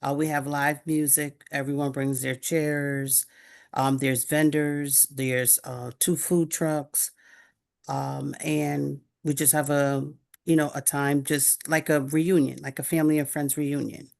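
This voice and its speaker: A woman's voice: deep voice, flat delivery, slow, creaky, darkish tone. A woman from Norwalk, Connecticut, presenting her event to a city committee over a remote call, hesitant with many fillers. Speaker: event organizer applicant. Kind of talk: public comment at a city meeting.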